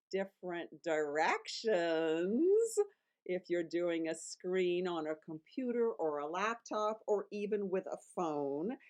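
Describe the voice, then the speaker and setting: A woman's voice: lowish voice, a little mellow. An older woman hosting a casual video, talking in a playful, encouraging way. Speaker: children's author and host. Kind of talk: casual vlog for grandparents.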